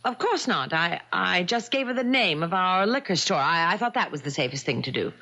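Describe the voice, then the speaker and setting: An actress playing a cool, devious wife in a radio mystery, with a sly, sardonic and pointedly polite manner. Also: female voice, lowish voice, expressive, fairly quick, sharp tone, heavily acted. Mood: flustered, evasive. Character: cool, devious wife coolly scheming against her bigamist husband. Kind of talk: 1970s radio mystery acting. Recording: dull, lo-fi old radio broadcast.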